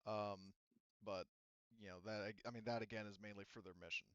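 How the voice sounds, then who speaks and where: A man with a smooth, clear voice runs a tabletop roleplaying game. He explains casually and haltingly, thinking aloud as he goes. The recording is dry and a little dull. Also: fairly low pitch, steady tone, fairly bright tone, dry recording. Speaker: game master.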